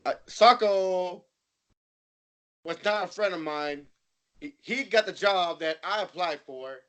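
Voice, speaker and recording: A crude old sock puppet played by a man in an improvised comedy bit. The voice is high and bright, with a defiant, halting delivery full of repeated words and profanity. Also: clear, resonant tone, heavily acted. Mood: grumbling.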